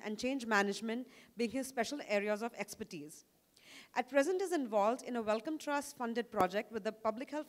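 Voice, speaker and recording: Female event announcer, slightly high voice, formal scripted read, reverberant hall sound. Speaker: event announcer introducing speakers. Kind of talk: formal scripted speaker introduction. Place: hotel conference hall.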